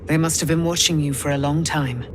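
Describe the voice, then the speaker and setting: Low-voiced, solemn celestial warrior woman actor. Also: steady tone, noisy background, close to an everyday voice. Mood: solemn, revealing. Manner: measured, grave, declarative. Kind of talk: dramatic video game acting.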